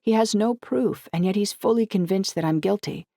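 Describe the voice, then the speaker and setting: Audiobook heroine voiced by a narrator: earnest young woman, candid and conversational, pitched up, dry sound. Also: a little fast, gravelly, no room sound. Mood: indignant, puzzled.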